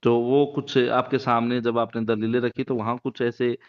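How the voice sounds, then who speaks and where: Smooth-voiced male interviewer on muffled call. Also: mid-range voice, little pitch movement, normal speed. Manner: hesitant, roundabout phrasing with repeated filler phrases. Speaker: interviewer, local news reporter. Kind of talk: phone interview, asking questions. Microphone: phone call. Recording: muffled, lo-fi phone call recording.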